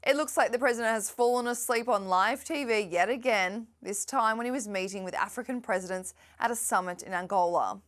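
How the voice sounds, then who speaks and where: Female presenter, young adult, scripted culture-news wrap; brisk and wry. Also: a little high, a bit bright.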